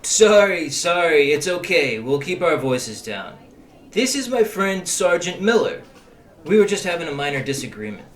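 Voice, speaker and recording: Man playing edgy, lively high-voiced informant. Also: normal speed. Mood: placating. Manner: insistent, edgy. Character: cagey, pushy, touchy informant selling incriminating secrets. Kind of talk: audio drama acting.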